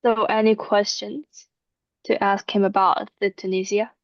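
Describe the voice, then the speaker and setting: A woman's voice: medium pitch, level tone, unhurried, no room sound. A woman hosting an online meeting, moderating with brief prompts. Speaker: host. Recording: dull, dry call audio.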